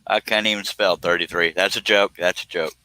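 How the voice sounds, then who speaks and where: A man's voice: low voice, fairly even intonation, brisk, pushed and bright, dry recording. A man in his fifties hosting a casual live stream, chatting in a folksy, joking, teasing way. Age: 50s.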